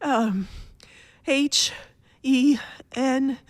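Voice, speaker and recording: A woman's voice: high voice, extremely slow, no echo. A woman playing an anxious, imperious, self-pitying invalid wife in a radio thriller, with dramatic gasps and a demanding manner. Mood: anxious urgency.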